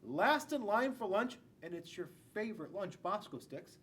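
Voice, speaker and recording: Animated, high-pitched American man reading aloud. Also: normal speed. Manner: animated, dramatic, asking the children questions. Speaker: school resource police officer. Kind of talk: reading a children's picture book aloud. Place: school classroom, indoors. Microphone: camera a few feet away. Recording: noticeable room noise with low rumble.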